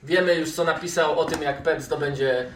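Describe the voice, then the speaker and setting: Higher-voiced man in a roomy, distant recording. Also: steady tone, normal speed. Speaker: podcast host. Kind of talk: casual football discussion. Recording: roomy, distant mic with noise and clicks.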